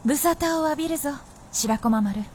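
A woman's voice: high-pitched, lively intonation, moderate pace, a little mellow, a little hiss or hum. A woman acting as a proud, vengeful and teasing fox princess in a radio drama, speaking in an archaic, imperious way. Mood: haughty greeting. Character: proud old-fashioned vixen spirit princess, vengeful and teasing.

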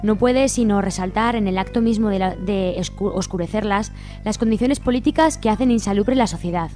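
A woman's voice: mid-range voice, a little fast, mellow, faint music behind. A woman with an accent from Spain co-hosting a radio programme, presenting from a script. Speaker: radio co-host.

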